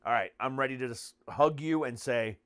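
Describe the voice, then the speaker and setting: A man's voice: medium pitch, normal speed, fairly bright tone, smooth, dry recording, clean studio sound. An American man hosting a podcast in casual chat, speaking bluntly and swearing freely. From United States. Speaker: podcast host and comedian.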